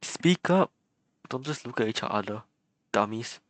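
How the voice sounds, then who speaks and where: A game streamer giving casual, halting live reactions and muttered asides into a close microphone. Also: medium pitch, animated, no echo.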